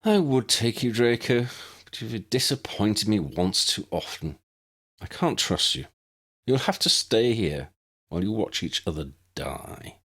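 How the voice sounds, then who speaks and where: A man's voice: fairly low pitch, lively intonation, a bit bright, voice pushed up. Man voicing a cold, sneering aristocratic villain. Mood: cold, smug. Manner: disdainful, smug, drawn-out delivery.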